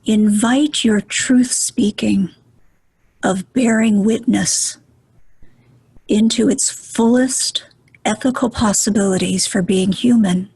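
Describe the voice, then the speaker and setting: Female meditation guide, very husky and breathy, unhurried and gentle, close dry sound. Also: mid-range voice, no echo, low-quality recording. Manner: gentle, soothing, deliberate with pauses.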